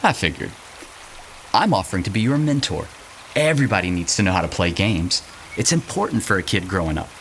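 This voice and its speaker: A low, animated male voice from an actor plays an irreverent, flirtatious drifter in an audio drama. He talks casually and jokes his way along. Faint rain-like noise surrounds him. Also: brisk, exaggerated voice. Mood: coaxing, playful. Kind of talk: naturalistic audio drama acting.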